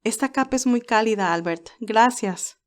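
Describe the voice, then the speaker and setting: A woman's voice: fairly high pitch, normal speed, close to an everyday voice. Woman acting a girlish, emphatic, feisty young nurse. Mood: grateful, tender. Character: Candy, sweet, feisty young nurse, easily moved and teasing.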